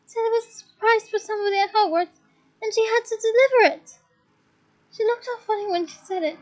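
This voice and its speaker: A woman's voice: very high-pitched, fairly even intonation, quick pace, a little mellow, voice pushed up, rough recording. A woman reading aloud, voicing a frightened teenage schoolgirl who is crying and tripping over her words. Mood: tearful panic. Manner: panicked, breathless, stumbling over words.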